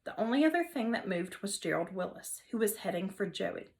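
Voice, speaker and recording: A woman teacher reading a children's novel aloud in an expressive storytelling manner. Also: mid-range voice, brisk. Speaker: third grade teacher.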